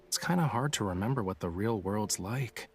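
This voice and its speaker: A man's voice: fairly low pitch, lively intonation, normal speed. An actor playing a playful, dreamy young male artist in a romance game, speaking in a casual, teasing way. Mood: dreamy, relaxed. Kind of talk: voiced dialogue in a romance game.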